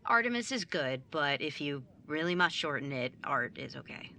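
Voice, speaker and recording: A woman playing a driven, overachieving young student and younger sibling in an audio drama, brisk, businesslike and easily exasperated. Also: sits low, big pitch swings, bright, forceful tone, slight background noise, heavily acted. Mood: awkward, guarded.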